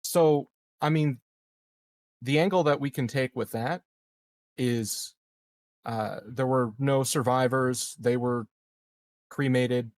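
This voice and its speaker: Male game player, mid-pitched, slow, halting casual planning, dry close sound. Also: a little mellow, no room sound. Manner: halting, thinks aloud in fragments. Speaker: player in a tabletop roleplaying game.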